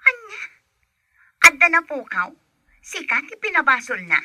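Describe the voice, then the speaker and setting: A woman as an excitable gossiping friend. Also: high-pitched, no room sound, clean studio sound, exaggerated voice. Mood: alarmed. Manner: exclaiming, gossipy, quick to alarm. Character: excitable young woman friend calling a nanny.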